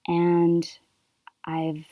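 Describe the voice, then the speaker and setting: A woman from Canada hosting her own podcast, speaking softly and thoughtfully in a reflective solo monologue with unhurried pauses. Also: fairly low pitch, monotone, no room sound, narrow, tinny audio. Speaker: podcast host.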